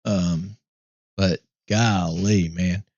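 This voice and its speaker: A man hosting a race broadcast in a casual chat, easygoing and upbeat, his voice going hoarse. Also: low-pitched, fairly even intonation, extremely slow, a little mellow, dry recording. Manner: easygoing, upbeat, rambling with fillers. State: losing his voice, clearing throat. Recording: dry, dull lo-fi mic.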